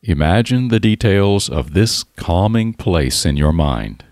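A man narrating a scripted guided relaxation in a calm, soothing, deliberate manner with pauses. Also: sits low, no echo, studio quality.

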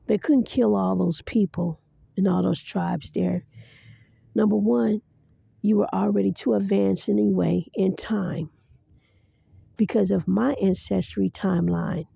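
A woman's voice: mid-range voice, creaky, dark tone, slightly rough, dry recording. A woman hosting a podcast in solo commentary, speaking in an earnest, preachy way straight to her listeners. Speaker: podcast host.

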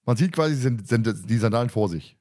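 A man's voice: mid-range voice, animated, a little fast, darkish tone. A man co-hosting a podcast in casual discussion, chiming in and building on points. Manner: interjecting, building on the other speaker's points. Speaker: podcast co-host. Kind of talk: casual discussion of an audio drama.

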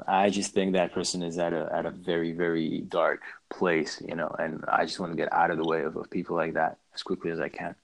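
Low-ish guy being interviewed, thinks out loud, lots of restarts, careful and even-handed. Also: brisk, creaky, hardly any pauses, rough recording. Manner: thoughtful, weighs both sides, frequent restarts. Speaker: podcast guest. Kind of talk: reflective conversational talk. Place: lives in southern Slovakia.

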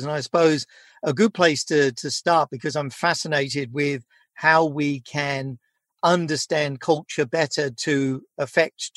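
A man's voice: a little high, fairly bright tone, no echo, studio quality. A man hosting a podcast interview, conversational and affirming, taking wordy run-ups to his questions. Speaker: podcast host.

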